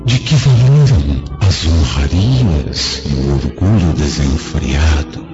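Very deep, gravelly, solemn spirit. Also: male voice, expressive, heavily acted. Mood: sorrowful, reproving. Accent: Brazilian. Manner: slow, grave, pleading, preacher-like delivery. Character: solemn male spirit of an old friend, compassionate, exhorting. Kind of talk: Brazilian religious radio drama acting. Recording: dull lo-fi audio, loud music behind. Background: dramatic music.

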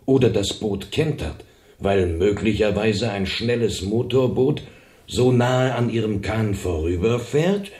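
An actor playing a cold, calculating plotter in a German radio drama, insinuating with feigned innocence. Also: male voice, fairly low pitch, animated, a little slow, a little mellow. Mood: coolly calculating.

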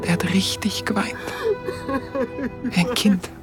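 An actress playing a tender, simple-hearted woman with a Bavarian accent, remembering in halting, colloquial half-whispers in a radio drama. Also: medium pitch, steady tone, close to an everyday voice. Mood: tender, moved. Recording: music under the voice.

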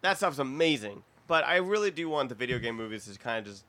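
A man's voice: medium pitch, lively intonation, fast, pushed and bright. A man hosting a casual comedy podcast, talking in a jokey, profane way. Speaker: podcast host.

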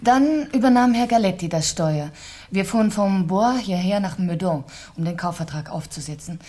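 A woman's voice: mid-range voice, normal speed, warm tone, lightly acted. A woman playing a cool, guarded, newly widowed wife in a German radio crime drama, answering curtly and precisely. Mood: guarded, irritated. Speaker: actor in a radio crime play.

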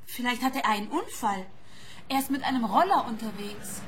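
A woman's voice: fairly high pitch, expressive, moderate pace, natural voice. An unamused young hotel receptionist in a radio play, played by a woman. She is curt and cool, with faint ambient noise behind her. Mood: matter-of-fact. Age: young adult. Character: serious, curt, money-minded young female hotel receptionist.

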